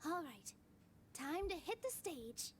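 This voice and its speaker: A woman playing a gentle, earnest young dancer in a video game, speaking sweetly and politely. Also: sits high, sing-song, slow, a little hiss or hum, exaggerated voice. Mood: grateful.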